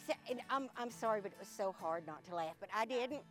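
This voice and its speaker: A woman's voice: medium pitch, lively intonation, fast talker, a bit bright, soft background music. A woman with a Southern accent, a librarian telling funny stories in a casual interview, laughing often. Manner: chatty, laughs often while telling anecdotes.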